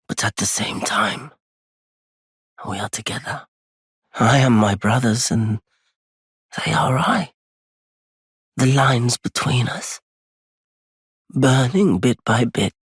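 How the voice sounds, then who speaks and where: An actor voicing a solitary, world-weary wandering merchant in a fantasy video game, with a husky, raspy voice and measured, formal phrasing. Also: male voice, on the low side, no room sound, exaggerated voice. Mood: eerie, musing. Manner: slow, measured, formal old-fashioned phrasing. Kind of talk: dramatic fantasy game voice acting.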